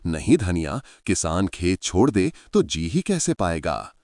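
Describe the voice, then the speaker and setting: A man voicing a poor aging farmer, gentle and soft-spoken. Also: deep voice, sing-song, measured pace, no room sound, clean studio sound, full character voice. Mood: resigned. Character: poor aging male farmer, patient and resigned.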